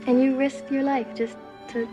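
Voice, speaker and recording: An actress playing a sweet, naive young princess in a fairy-tale drama, earnest and wide-eyed. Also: female voice, high-pitched, flat delivery, normal speed, lightly acted. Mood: shy wonder. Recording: music playing under dialogue.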